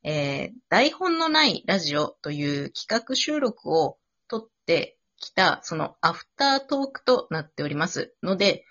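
Woman, radio host, casual chat, very animated pitch, bright tone, muffled lo-fi audio. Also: a little slow, few pauses. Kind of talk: casual after-talk chat. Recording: dull lo-fi online audio.

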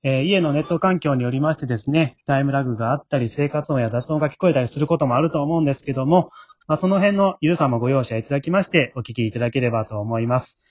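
A man's voice: medium pitch, quick pace, no echo, highs cut off. A man hosting a casual chat, polite and explanatory. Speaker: host and scriptwriter of an amateur drama group. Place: at home, remote recording.

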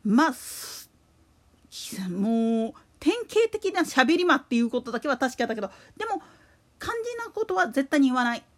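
A woman's voice: sits high, very animated, moderate pace. A woman giving casual solo commentary as a podcast host, rambling and opinionated, with emphatic repetition.

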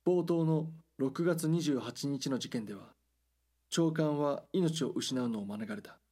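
A man reading scripted documentary drama narration in a measured, serious news-report manner. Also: on the high side, dark tone, husky.

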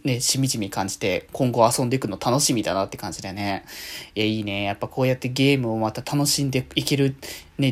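Animated man chatting as a podcast host. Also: mid-range voice. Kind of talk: casual solo radio-style talk.

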